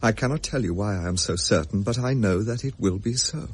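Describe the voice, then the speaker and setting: A husky-voiced young gentleman, played by a man, haunted and shaken. He tells his tale in grave, old-fashioned phrases that halt and stammer, in the style of a period radio drama. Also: on the low side, brisk. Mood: shaken, doomed.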